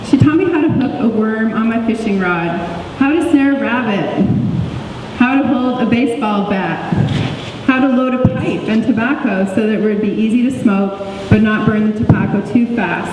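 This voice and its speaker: A woman reading a book chapter aloud as narrator, measured and reflective. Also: on the high side, darkish tone. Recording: echoey hall, distant mic, faint noise.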